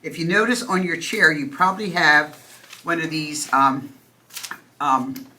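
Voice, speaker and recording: A woman's voice: sits low, measured pace, sharp tone, a little hiss or hum. A woman hosting a church service at a stand microphone, chatty and self-deprecating, with loose fillers. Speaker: host. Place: church meeting room.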